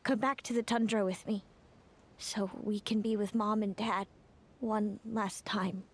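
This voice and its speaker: A woman voicing a gentle older sister in a video game, speaking softly and consolingly. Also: mid-range voice, steady tone, a little slow, slightly rough. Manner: soft, consoling. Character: gentle older sister bringing sad news. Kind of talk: game voice acting. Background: game music.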